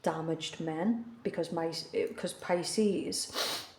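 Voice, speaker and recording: Low, mellow-voiced woman reading tarot. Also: drawn-out words, roomy sound, a little hiss or hum. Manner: earnest, repeats herself for emphasis. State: coming down with the flu. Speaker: tarot reader. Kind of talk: casual tarot reading monologue.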